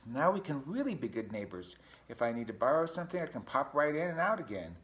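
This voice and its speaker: A man's voice: mid-range voice, sing-song, fast, fairly bright tone, pitched above the performer's own voice. A man voicing a cheery, pushy male pack rat neighbor in a breezy, carefree way. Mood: chirpy.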